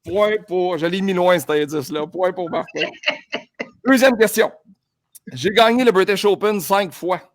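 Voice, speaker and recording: High-voiced Québécois man hosting a quiz. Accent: Québécois French. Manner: upbeat, quick, playful announcer tone. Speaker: podcast host running a golf quiz. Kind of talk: casual chat and quiz hosting.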